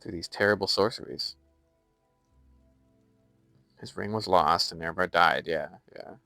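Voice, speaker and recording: A man's voice: sits low, measured pace, vocal fry, sharp tone, no room sound, soft background music. A young American man chatting casually on a live stream while playing a game and reading its lore aloud. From United States.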